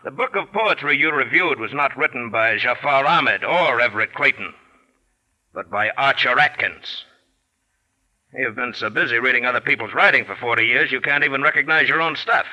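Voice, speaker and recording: A man's voice: mid-range voice, normal speed, sharp tone, low-quality recording. An actor playing a shrewd, dogged police captain in 1940s radio style, blunt and needling as he presses a suspect. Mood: firm revealing.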